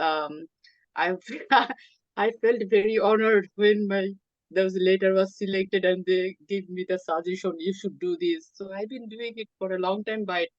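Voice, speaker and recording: A woman from Bangladesh, a native Bengali speaker, talking as a guest in an interview, hesitant with many pauses and fillers. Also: fairly low pitch, no echo, lo-fi sound. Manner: hesitant, many ums, halting phrasing. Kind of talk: interview conversation.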